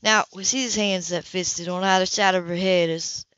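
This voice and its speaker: A woman's voice: fairly low pitch, fairly even intonation, normal speed, a bit bright, clear, resonant tone, no echo, muffled recording. A woman reading a romance novel aloud as an amateur narrator, now and then stumbling over words and correcting herself. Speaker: amateur audiobook reader.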